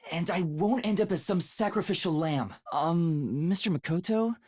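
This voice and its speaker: A boyish young male detective trainee in a video game, light and breathy. He is earnest and timid, polite but easily flustered, and his pitch moves expressively in a dry, close recording. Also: lively intonation, moderate pace, darkish tone, dry recording. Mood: wary, questioning. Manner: earnest, hesitant, polite, stammers when flustered. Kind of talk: video game voice acting, English dub.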